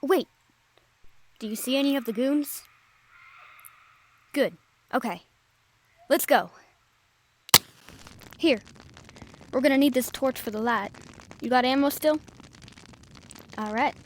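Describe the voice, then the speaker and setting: A woman's voice: high-pitched, slow, long pauses, slight background noise. A woman playing a brash, brave, wry treasure hunter in an audio roleplay, bantering informally with the listener. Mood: curious, excited. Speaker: voice actor.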